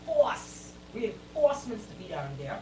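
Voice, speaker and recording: A woman's voice: medium pitch, huge pitch swings, a little slow, warm tone, a little hiss or hum, rough recording. A woman with a Bahamian accent hosting a radio show with casual, playful, colloquial banter. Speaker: radio host. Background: music bed at times.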